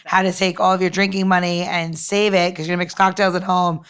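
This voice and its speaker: A woman's voice: fairly low pitch, level tone, fast talker, fairly bright tone, clean tone. A woman co-hosting a podcast, chatting in a rambling way with many fillers and frequent giggles. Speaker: podcast co-host. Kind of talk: casual chat.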